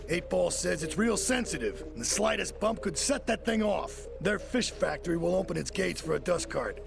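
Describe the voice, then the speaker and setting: An actor playing a tough, hot-tempered mobster in a video game, blunt and aggressive, with a gravelly voice. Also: male voice, sits high, full character voice. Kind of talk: video game cutscene acting. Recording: clear background ambient noise.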